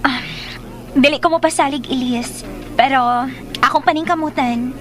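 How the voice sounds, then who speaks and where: An actress with a Cebuano accent playing a serious, determined company executive in a radio drama. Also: female voice, high voice, normal speed, crowd noise, close to an everyday voice. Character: serious, determined woman, new company vice president. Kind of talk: Filipino radio drama acting. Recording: noisy background with hum.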